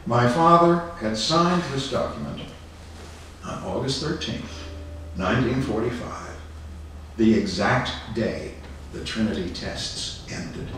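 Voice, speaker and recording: A man giving a dramatic reading of a written memoir at a public program, with measured, deliberate phrasing. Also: mid-range voice, lively intonation, a bit bright, a little hiss or hum. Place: indoor arts center venue. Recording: roomy echoing hall, distant mic, faint music.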